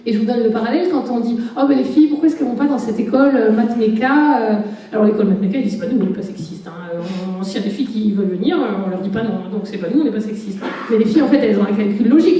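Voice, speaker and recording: A woman speaking as a conference panelist, emphatic and animated, often laughing as she makes her points. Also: on the high side. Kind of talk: panel discussion talk. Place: conference hall. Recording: echoey hall, voice from far off.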